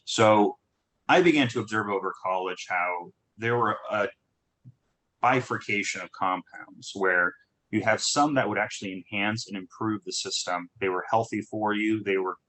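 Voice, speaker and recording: A man with a low, bright and forceful voice tells his story to a group. He talks in a halting way, often repeating words and restarting his sentences. He grew up in Southern California. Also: poor audio. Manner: halting, many restarts and fillers. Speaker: startup founder. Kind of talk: conversational talk on a group call.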